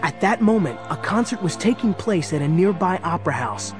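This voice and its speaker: Suspenseful superhero-story narrator, slightly high. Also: male voice, very animated, a little fast. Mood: dramatic. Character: dramatic male storyteller of a superhero adventure. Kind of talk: scripted dramatic narration. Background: dramatic music.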